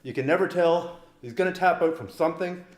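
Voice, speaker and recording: A man's voice: a little high, a little fast, reverberant. A man teaching grappling moves in a casual, joking way, explaining each step as he demonstrates on a partner. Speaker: grappling instructor. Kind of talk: instructional demonstration. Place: training mat room.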